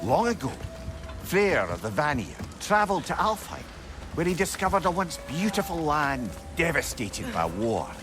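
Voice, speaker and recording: Lively sage storyteller. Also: male voice, on the high side, normal speed. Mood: storytelling. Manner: eloquent, lore-telling. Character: learned, wry male storyteller and guide. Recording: music under the voice.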